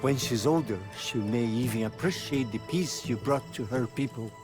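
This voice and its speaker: An actor playing a patient, gently stern older guardian in a video game drama. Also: male voice, medium pitch, normal speed, over music, lightly acted. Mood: fond, wistful.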